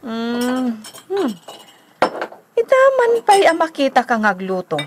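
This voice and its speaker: A woman's voice: sits high, huge pitch swings, takes it slowly, very noisy, heavily acted. A woman with a Filipino accent acting in a radio drama as an inquisitive wife who runs the household.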